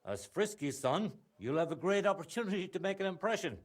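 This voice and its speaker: Candid tradesman, middle-aged, for a game: chatty, highish voice, strongly varied intonation. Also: big pitch swings, brisk. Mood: sympathetic, advising. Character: chatty, candid guild craftsman, old acquaintance of hero's father. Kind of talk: video game dialogue acting.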